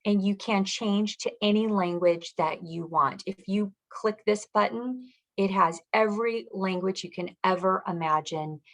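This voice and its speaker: A woman's voice: medium pitch, measured pace, few pauses, rough recording. A woman presenting as a school district director, walking listeners through web resources in an explanatory, instructional way.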